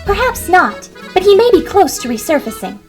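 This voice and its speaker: A woman's voice: very high voice, very animated, normal speed, over music, full character voice. A woman playing an earnest, insistent young girl hedgehog in an audio drama. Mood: cautious. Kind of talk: audio drama character acting.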